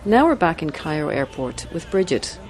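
A woman narrating a radio documentary in a measured, lightly amused storytelling manner. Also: sits low, very animated, darkish tone. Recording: music bed under voice.

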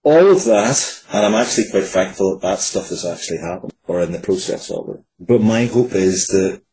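Guy in his 40s, low mellow voice, earnest interview talk, bit of background noise. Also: lively intonation, moderate pace, low-quality recording. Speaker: writer, interview guest. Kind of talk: conversational interview answers.

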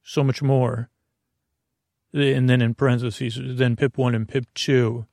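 Male sleep-podcast host, gravelly, rambling and self-interrupting, dry close sound. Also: level tone, a little mellow, no room sound. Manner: meandering, self-interrupting, tangents; low-key, unhurried delivery. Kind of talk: rambling bedtime monologue.

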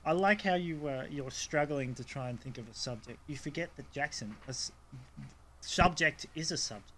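A man's voice: a little high, moderate pace, sharp tone, slight background noise. A young man co-hosting a podcast, cracking jokes and teasing his co-host in casual chat. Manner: joking, teasing interjections.

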